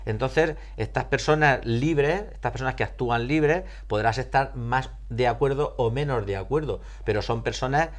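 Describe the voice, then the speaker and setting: Man explaining in a halting, questioning way. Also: mid-range voice. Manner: didactic, poses and repeats rhetorical questions; halting, searches for words. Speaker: psychologist being interviewed. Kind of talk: explanatory interview answers.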